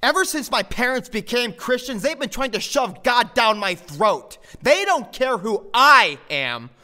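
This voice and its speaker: A defiant teenage runaway boy acted by a man with an American accent, in a radio drama. The voice is high, sharp and swings widely, snappish and guarded, on a noisy home recording. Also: sing-song, unhurried, exaggerated voice. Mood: bitter. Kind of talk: amateur radio drama acting.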